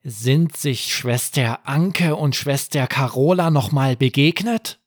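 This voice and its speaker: Man voicing an earnest little boy detective, slightly raised pitch. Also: slow pace, no echo, studio quality. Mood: pondering. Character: bright, serious little boy playing detective.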